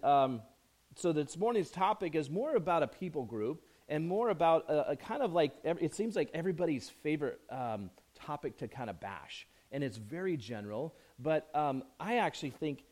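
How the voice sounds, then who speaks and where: A man preaching a conversational sermon as pastor, hoarse from a chest cold, chatty and self-joking with frequent restarts. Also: mid-range voice, darkish tone.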